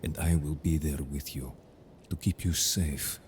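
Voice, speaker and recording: A man playing a calm, confident, protective merfolk in an audio roleplay drama, speaking with measured, formal phrasing. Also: very deep voice, slight background noise. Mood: earnest, urging.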